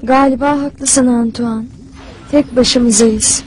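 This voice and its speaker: Young cartoon squirrel heroine, high, earnest, commanding yet caring. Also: female voice, steady tone, slow pace, darkish tone, rough recording. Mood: serious. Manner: serious, commanding, concerned. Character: earnest, caring young female squirrel princess, team leader. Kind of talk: dubbed cartoon acting.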